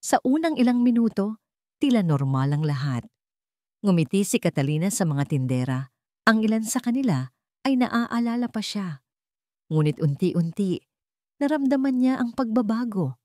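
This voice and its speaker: Low-voiced Filipino woman narrating a story. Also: dark tone, no echo, clean studio sound.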